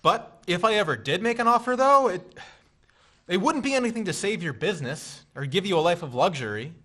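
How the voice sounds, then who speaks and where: A man's voice: high-pitched, lively intonation, brisk, pushed and bright, heavily acted. An actor playing a laid-back, dry-humored man who offers pasta and guitar serenades instead of wealth. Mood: wry. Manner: dry, teasing. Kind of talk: stage-play acting.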